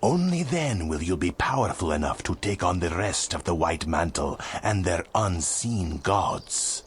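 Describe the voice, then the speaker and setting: A grave, commanding mentor from a fantasy video game. His voice is low and unhurried, with a solemn, portentous delivery. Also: animated, a little hiss or hum. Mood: stern, urging. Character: grave, commanding male mentor sending chosen heroes on trials. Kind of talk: video game cutscene acting.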